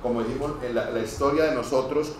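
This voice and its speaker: Colombian man lecturing from far off, very echoey. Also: medium pitch. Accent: northeastern Colombian Spanish. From Cúcuta, Colombia. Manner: hurried, digressive, with repeated stammered false starts. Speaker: pulmonologist teaching medical trainees. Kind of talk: informal medical lecture. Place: echoey room, speaking to a group. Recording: very echoey room, distant mic, noisy.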